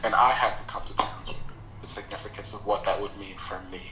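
A man from Nigeria whose first language is Yoruba, telling his life story slowly and reflectively as a podcast guest. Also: lowish voice, steady tone, pushed and bright, echoey room, poor audio. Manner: slow, reflective, hesitant with pauses. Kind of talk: personal storytelling.

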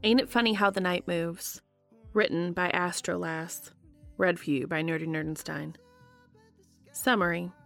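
A woman's voice: on the low side, fairly even intonation, a little slow, creaky, pauses often, a bit bright, soft background music. A woman podcast host reading fan fiction aloud as scripted narration.

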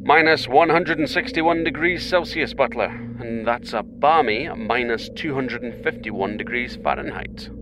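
A man playing a calm, dryly humorous British astronaut captain in a sci-fi audio drama, reporting in clipped, formal phrases. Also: a little slow, hardly any pauses, natural voice. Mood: composed, focused. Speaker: mission captain. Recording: noisy craft rumble behind.

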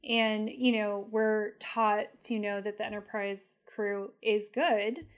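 A woman's voice: mid-range voice, fairly even intonation, takes it slowly. A woman co-hosting a podcast, talking things through in a casual, thoughtful way with many "like" fillers. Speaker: podcast co-host. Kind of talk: casual analytical chat.

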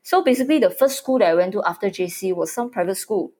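Giggly Singaporean woman, husky voice. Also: mid-range voice, expressive, fast talker, a little mellow. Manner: giggly, chatty, with Singlish particles. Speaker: podcast co-host. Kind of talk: casual chat.

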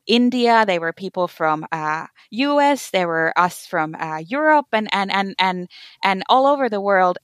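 A woman's voice: on the low side, fairly bright tone, no room sound. Hesitant woman, searching for words. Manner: hesitant, many fillers and restarts, searching for words. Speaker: conference organizer, guest. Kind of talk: conversational interview answers.

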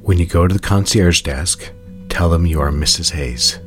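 An actor playing a controlling, coolly seductive lover in a period melodrama, terse and insinuating. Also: male voice, low voice, normal speed, faint music behind, close to an everyday voice.

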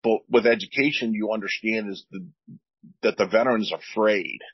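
A veteran man with a deep, husky voice talks earnestly on a podcast and often repeats himself while he searches for words. The sound is dry and a little dull. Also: moderate pace, no echo. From United States. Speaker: veteran guest. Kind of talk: candid podcast talk.

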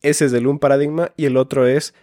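A man's voice: medium pitch, steady tone, fairly quick, warm tone, dry recording, clean studio sound. A man in his twenties from Finland, co-hosting a conversational podcast. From Finland, has lived in Ecuador. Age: 20s. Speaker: podcast co-host.

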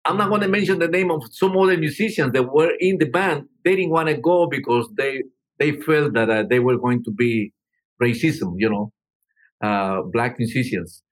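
A man's voice: medium pitch, normal speed, rough recording. An older man from Peru, a musician telling stories in an interview, rambling with many restarts. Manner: rambling, frequent you know and restarts. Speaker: musician guest. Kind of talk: storytelling interview answers.

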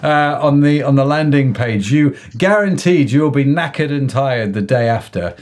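A man's voice: medium pitch, moderate pace, a little mellow. A man hosting a podcast, reading his intro and chatting with a guest in an upbeat, jokey way with frequent fillers. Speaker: podcast host.

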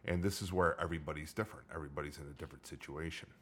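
A middle-aged man with a low voice hosting a casual chat, joking and rambling with many restarts. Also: steady tone, rapid-fire, a bit bright, a little hiss or hum. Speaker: podcast host.

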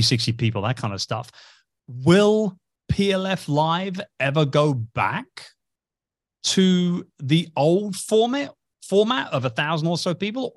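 A man with a mid-range voice co-hosts a casual podcast and asks easygoing questions, often chiming in with quick agreements. His voice is recorded close and dry, with no room sound. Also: medium pitch, no room sound. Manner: interviewer, frequent short agreements, relaxed questions. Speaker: podcast co-host.

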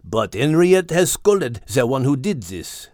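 A man plays a courteous storybook rooster. His formal speech swings expressively in pitch. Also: big pitch swings, measured pace, a little mellow, dry recording, studio quality. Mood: regretful.

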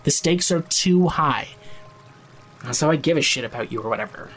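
An actor playing a drunk, blunt, foul-mouthed young man who insistently and gruffly looks out for his friend in an audio drama. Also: on the high side, lively intonation, normal speed, a bit bright, faint music behind. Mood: blunt, firm. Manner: slangy, insistent, gruffly caring. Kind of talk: audio drama acting, naturalistic.

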